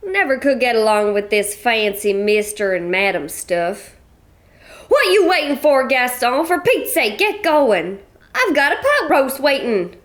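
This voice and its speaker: A woman's voice: fairly high pitch, sing-song, a little slow. A woman voicing a bossy, blunt, middle-aged widow from a cattle ranch, speaking. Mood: bossy, folksy. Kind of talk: radio play acting.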